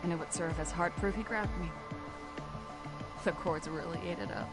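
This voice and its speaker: A woman's voice: lowish voice, normal speed, close to an everyday voice. A woman playing a smug, defiant young schemer in a video game, with a dismissive, matter-of-fact delivery. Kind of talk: English game dub dialogue. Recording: loud music behind.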